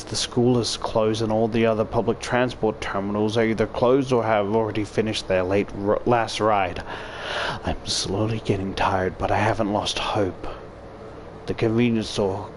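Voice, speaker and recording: A man's voice: fairly low pitch, fairly even intonation, normal speed, slight background noise. A man reading a visual novel aloud as a YouTuber, stumbling now and then and adding casual commentary. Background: game music.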